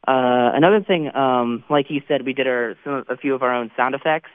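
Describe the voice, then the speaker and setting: A hesitant American teenage male student actor giving a casual, halting interview over the phone. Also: mid-range voice, clean tone, no room sound. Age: teens. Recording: thin, tinny phone line.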